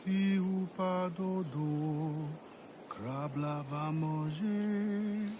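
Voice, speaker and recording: Slow, grave assassin. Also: male voice, fairly high pitch, noisy background. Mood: cautious. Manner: terse, grave, deliberate. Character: proud, guarded former slave turned assassin sailor. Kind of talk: video game dramatic acting.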